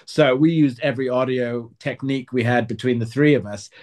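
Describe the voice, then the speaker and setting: A man's voice: medium pitch, fairly even intonation, a little fast, darkish tone. A man talking as an author guest in a conversational interview, earnest and wordy with a wry streak.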